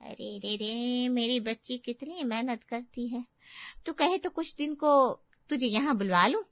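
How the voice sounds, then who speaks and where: A woman's voice: on the high side, a little slow, no echo, highs cut off. A woman voicing a middle-aged mother, sympathetic and coddling, in a family story. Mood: doting sympathy. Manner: coddling, exclaiming. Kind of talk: Hindi family story acting.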